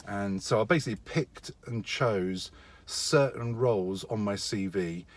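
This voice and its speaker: A middle-aged man with a fairly low voice talks through practical career advice in an informal vlog style. His delivery is matter-of-fact, with emphasis on the key words. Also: measured pace. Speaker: IT contractor giving career advice.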